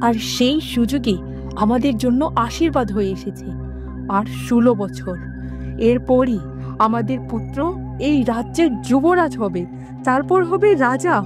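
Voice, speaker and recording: A woman's voice: on the high side, animated, unhurried, music in the background. A woman voicing an ambitious, wicked queen in a fairy-tale cartoon, haughty and sly in a theatrical way. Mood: gloating, sly.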